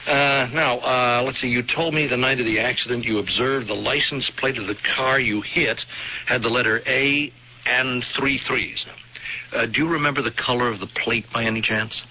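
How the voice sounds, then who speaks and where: An actor playing a plainspoken, persistent state trooper in a radio drama, with a halting, polite, probing manner. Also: male voice, medium pitch, fairly even intonation, natural voice. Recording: dull, slightly noisy vintage radio recording.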